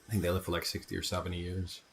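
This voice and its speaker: A low-voiced man chats casually over video game cutscenes. He rambles through anecdotes as a co-commentator, with faint noise and game audio behind him. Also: completely flat delivery, very fast, vocal fry, fairly bright tone. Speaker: Let's Play co-commentator.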